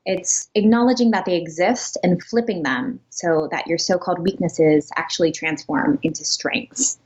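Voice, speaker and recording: A woman's voice: on the low side, normal speed. A young woman from Pittsburgh answering interview questions in a friendly way, laughing lightly as she talks. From Pittsburgh, Pennsylvania. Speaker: guest, pianist. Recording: dull lo-fi remote line.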